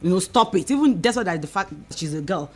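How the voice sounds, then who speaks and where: A woman's voice: fairly low pitch, expressive, fast talker, darkish tone. A woman with a Nigerian accent argues in a panel discussion, insistent and repeating her phrases for emphasis. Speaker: radio panelist.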